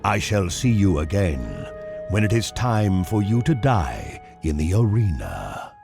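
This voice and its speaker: A man voicing a big, proud, menacing arena warrior who speaks in a slow, pompous, theatrical way with a gravelly voice. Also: big pitch swings, over music, heavily acted. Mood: scornful. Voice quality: deep, gravelly. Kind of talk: fantasy game movie voice acting.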